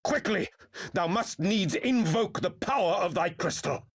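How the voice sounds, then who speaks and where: A solemn male scholar mage from a fantasy game. His slightly high voice delivers formal, archaic phrases like a prayer, trailing long hall reverb. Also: animated, unhurried, slightly rough, full character voice. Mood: urgent. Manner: archaic, formal, incantatory diction. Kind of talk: dramatic video game cutscene acting.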